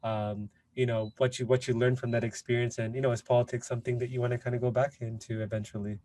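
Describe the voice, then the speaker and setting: A man's voice: medium pitch, little pitch movement, fast, darkish tone, dry recording. A man hosting a podcast interview, talking casually and hesitantly with many fillers. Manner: hesitant, many fillers like "you know" and "kind of". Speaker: podcast host.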